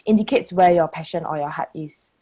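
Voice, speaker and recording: A woman's voice: deep voice, brisk, mellow. A woman of twenty-three with a Singaporean accent, giving her views articulately in a group discussion. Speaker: first-time voter on a discussion panel.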